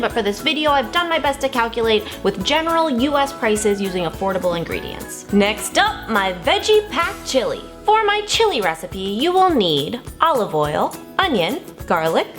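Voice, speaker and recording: A woman narrates recipes with bubbly enthusiasm, her voice high and leaping around a lot. Soft music plays under her. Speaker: cooking channel host. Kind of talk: cooking vlog narration.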